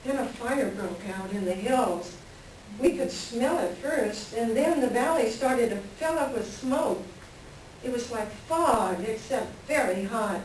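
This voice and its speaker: Echoey distant recording, actress as wistful married woman, higher-pitched, reflective, melancholy, stage play reading. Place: library reading before a microphone at some distance. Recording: very echoey room, distant mic, faint noise.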